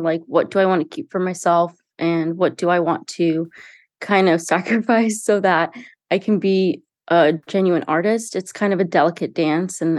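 A woman's voice: lowish voice, no room sound, clean studio sound. A young woman, a singer-songwriter, talking earnestly and casually about her music in an interview, with frequent fillers and restarts. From United States. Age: young adult.

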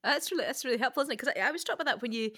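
A woman's voice: high voice, animated, very fast, bright, forceful tone, breathy edge, no room sound, clean studio sound. A woman hosting a conversational podcast discussion. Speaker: podcast host.

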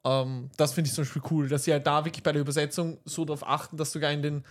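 A man with a somewhat high voice talks loosely about a film in relaxed podcast chat. He rambles, breaks off and restarts his sentences, and fills gaps with hesitations. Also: fast. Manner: rambling, many fillers and restarts. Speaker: podcast co-host. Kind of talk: casual film review chat.

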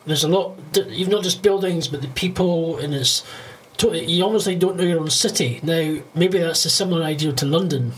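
A man's voice: a little high, brisk. A man with a Scottish accent hosting a casual interview podcast, rambling and circling back mid-sentence. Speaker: podcast host. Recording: clearly noisy, ambient background noise.

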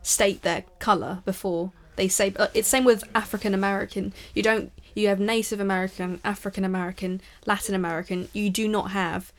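A young woman in her late teens of half Thai, half British background, speaking earnestly in a casual discussion and pausing often on fillers. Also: mid-range voice, fairly even intonation. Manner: earnest, ranting, hesitant with fillers. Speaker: discussion participant. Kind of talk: casual conversation.

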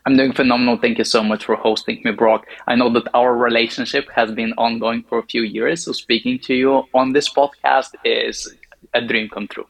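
A man with a Bulgarian accent and a gravelly, creaky voice at middle pitch. Bulgarian is his first language, and he speaks English in a confident, measured way. He explains things clearly as a podcast guest. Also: steady tone, poor audio. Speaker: podcast guest, marketing agency owner. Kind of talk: interview answers.

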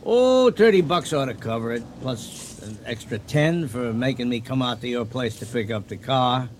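An actor in a radio drama playing a blunt, businesslike car mechanic. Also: male voice, mid-range voice, expressive, moderate pace, close to an everyday voice. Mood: matter-of-fact. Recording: slightly noisy 1980 radio drama recording.

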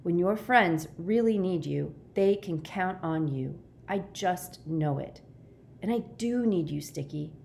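A woman's voice: on the low side, lively intonation, measured pace, creaky, a little mellow. Kind storybook boy, read by a woman: earnest conviction, reassuring, loyal; audible background noise. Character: kind, steadfast boy reassuring his frightened friend.

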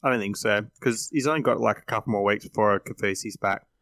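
Low, dry-sounding Australian man chatting sport. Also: fast, creaky, a bit bright, dry recording. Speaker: podcast panellist. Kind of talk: casual fantasy sports chat.

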